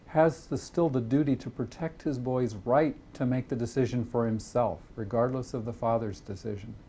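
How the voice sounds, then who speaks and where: A man explaining his views gravely and emphatically, as an expert in an interview. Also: mid-range voice, mellow, slight background noise.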